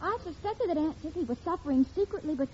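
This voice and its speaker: Very high-voiced teenage daughter, noisy old radio. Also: female voice, lively intonation, fast talker, dull audio. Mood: earnest. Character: earnest teenage daughter, gee-whiz and frank. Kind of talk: 1940s radio sitcom acting.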